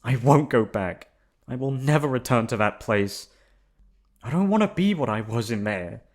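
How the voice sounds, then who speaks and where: A man's voice: mid-range voice, animated, moderate pace, a little mellow, pitched above the performer's own voice, lightly acted. A man voicing a young runaway princess, an upset and defiant little sister who speaks in emotional outbursts. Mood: frightened, defiant.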